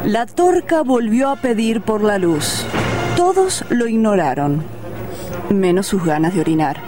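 Casting: female radio drama narrator, Rioplatense, mid-pitched, theatrical and wry, clear music bed underneath. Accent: Rioplatense Argentine.

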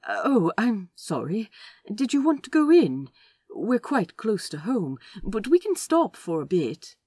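A woman's voice: mid-range voice, very animated, unhurried, darkish tone, husky, no room sound, clean studio sound. A woman playing a polite, easily flustered man with a formal, restrained way of speaking in audiobook dialogue. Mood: strained politeness.

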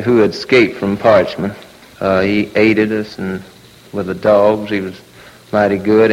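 Male, Southern US accent, monotone, halting folksy recollection, muffled, echoing hall. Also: on the low side. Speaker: highway patrol officer giving a witness account. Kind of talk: unscripted recollection. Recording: muffled, long hall reverb, old recording.

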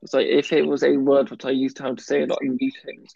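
Guy who stammers a lot, gravelly and flat, dry kinda dull recording. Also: medium pitch, fast, no echo. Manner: stammers, blocks on some words, many restarts. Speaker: guest talking about his stammer. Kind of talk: casual chat.

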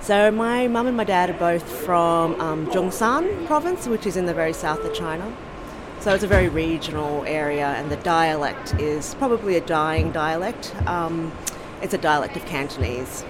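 An Australian woman from Sydney, speaking in a casual, thoughtful interview and pausing often with ums and restarts. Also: lowish voice, over music. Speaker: podcast host being interviewed.

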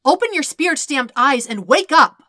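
A woman's voice: expressive, moderate pace, no echo, studio quality. A woman voicing a blunt, defensive, indignant teenage boy in an audiobook story, snapping in a harsh, volatile way. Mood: urgent, snapping. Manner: snapping, loud, harsh, high-strung.

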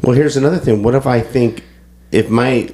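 A man's voice: mid-range voice, normal speed, warm tone. A man co-hosting a podcast, congested and stuffy, talking in loose rambling chat with frequent restarts. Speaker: podcast co-host. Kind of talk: casual chat.

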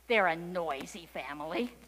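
A woman's voice: lowish voice, animated, normal speed, a bit bright, long reverb tail, pitched below the performer's own voice. A woman voicing a kindly, polite pig in a storybook, oinking and speaking apologetically. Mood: apologetic.

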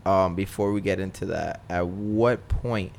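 A young man hosting a casual podcast interview, relaxed, with lots of ums and easy laughs. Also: sits low, unhurried, gravelly, a little hiss or hum. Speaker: podcast host.

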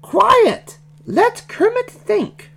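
Squeaky, bossy little girl piglet. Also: big pitch swings, very slow, creaky, a bit bright, exaggerated voice. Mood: scolding. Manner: bossy, self-important.

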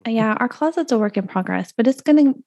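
A woman's voice: on the high side, lively intonation, fast, vocal fry, dark tone, no echo. A woman co-hosting a podcast in a casual, rambling chat, laughing often and losing her train of thought, recorded over a video call. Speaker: podcast co-host. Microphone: video call audio.

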